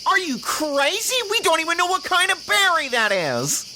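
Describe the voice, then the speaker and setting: A woman's voice: very high-pitched, very animated, moderate pace, heavy background noise. A woman playing a fussy, skeptical space expedition member in an audio drama, emphatic and exasperated. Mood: alarmed. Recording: clear background ambience of jungle insects, clicks.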